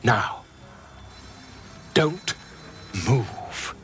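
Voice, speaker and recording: A man's voice: mid-range voice, animated, drawn-out words, a bit bright, faint music behind. A man playing a foppish, overconfident amateur surgeon in a fantasy game, florid and theatrical with a British accent. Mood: eager, excitable. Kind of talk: comic fantasy game acting.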